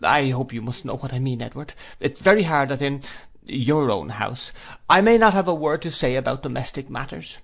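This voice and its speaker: A man's voice: mid-range voice, animated, moderate pace, vocal fry, fairly bright tone, pitched above the performer's own voice. A man voicing a timid, tearful young wife who pleads plaintively and falters, in a classic novel reading. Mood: frightened, faltering. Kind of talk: classic novel read aloud.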